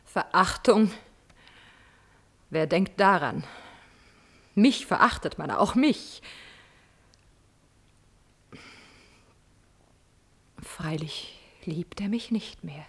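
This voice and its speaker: An actress playing a proud, spurned countess in a classical radio drama, wounded, bitter and insistent, theatrically moving between exclamations and whispers. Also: female voice, fairly low pitch, expressive, slow, long pauses, breathy edge, exaggerated voice. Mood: bitter brooding.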